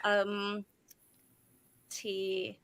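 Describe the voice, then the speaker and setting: A woman in her fifties from the Midwest, a longtime teacher chatting as a guest, laughing often and sounding excited. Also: mid-range voice, drawn-out words, clean tone. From Midwest of the United States. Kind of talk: casual chat.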